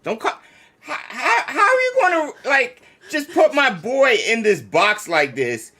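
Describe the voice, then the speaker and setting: A man's voice: very high-pitched, very animated, unhurried, sharp tone, husky. A man co-hosting a livestream in casual, slangy chat with a playfully indignant manner. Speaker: streamer co-host.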